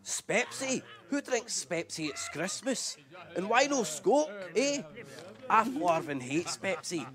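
A man as a boozy, animated Scottish spacer. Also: sits high, takes it slowly, exaggerated voice. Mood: boozy, exasperated. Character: gruff, boozy, belligerent Scottish space crewman. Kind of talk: live radio play read-through.

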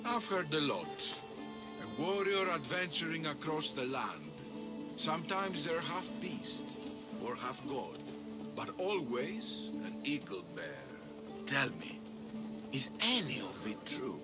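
An actor playing a measured, persuasive male arena master in a video game. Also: a little high, big pitch swings, loud music behind the voice. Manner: slow, deliberate, persuasive.

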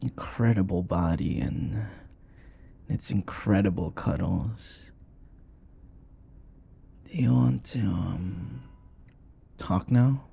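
Slow, mellow, tender boyfriend, acted by a man. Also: on the low side, creaky, husky, full character voice. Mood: gentle, caring. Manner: soft, tender, teasing, murmuring. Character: affectionate, teasing young boyfriend comforting his partner. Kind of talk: intimate boyfriend audio roleplay.

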